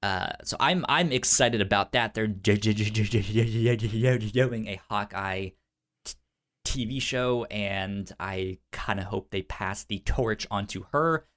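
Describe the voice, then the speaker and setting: A man co-hosting a casual pop-culture news chat, stuttering on sounds and syllables, with halting, informal phrasing. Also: on the low side, fairly bright tone. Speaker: podcast co-host.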